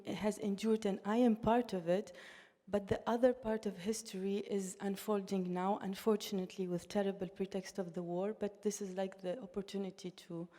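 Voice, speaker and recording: A woman's voice: medium pitch, dark tone, in a large hall. Tunisian-raised woman, earnest panel speaker. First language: Russian. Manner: earnest, thoughtful, with frequent restarts. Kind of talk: panel discussion talk.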